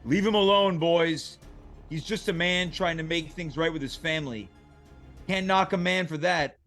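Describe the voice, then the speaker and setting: A man's voice: on the high side, moderate pace, a bit bright, soft background music, natural voice. A man playing a stern but kindly senior prison inmate in a comedic table read, firm and encouraging. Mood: firm, protective.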